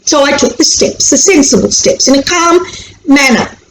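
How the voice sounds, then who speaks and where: A woman's voice: sits high, moderate pace. Chatty Australian woman in echoey room. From born in Sri Lanka, raised in Australia. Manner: chatty, animated, acts out anxious inner voices. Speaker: anxiety educator on video. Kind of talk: casual explanatory talk to camera. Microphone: camera mic. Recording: echoey room with faint hiss.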